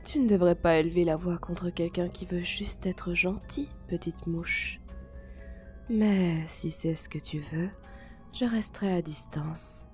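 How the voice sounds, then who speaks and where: A woman voicing a seductive, possessive spider-woman monster in an ASMR roleplay, coaxing softly and often whispering. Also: lowish voice. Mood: coaxing, menacing. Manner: breathy whispering, slow coaxing delivery.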